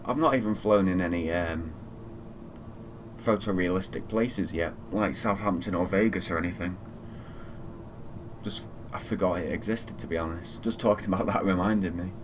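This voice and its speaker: Deep-voiced man streaming over background noise. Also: normal speed. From Fiji. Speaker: flight simulator live streamer. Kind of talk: casual live gaming commentary.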